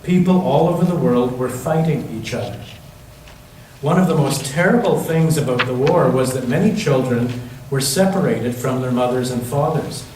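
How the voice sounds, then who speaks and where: A man reading aloud the part of a gentle grandmother who recalls a frightening wartime childhood in a storybook. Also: medium pitch, moderate pace, close to an everyday voice. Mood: solemn, remembering. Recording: very echoey church room, distant mic, faint noise.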